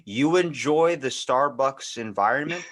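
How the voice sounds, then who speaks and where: A man's voice: mid-range voice, expressive, a little slow, a bit bright. An American man hosting a podcast in casual conversation, telling rambling stories and acting out bits of dialogue as he goes. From United States. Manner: rambling storyteller, acting out quoted exchanges. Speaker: podcast host.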